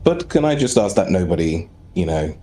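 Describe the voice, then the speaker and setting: A man puts on a nervous, evasive engineer for a roleplaying game. The voice is dark and soft-edged and fairly low, with hesitant, apologetic phrasing. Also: big pitch swings, moderate pace, a little hiss or hum. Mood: nervous, sheepish. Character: Victor, nervous, evasive male vault engineer.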